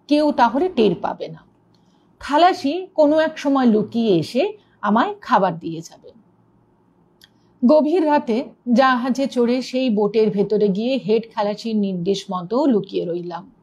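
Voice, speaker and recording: A woman with a fairly high voice narrates a ghost story as a storyteller. Her reading is dramatic and expressive, keeping up the suspense as she goes. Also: breathy edge.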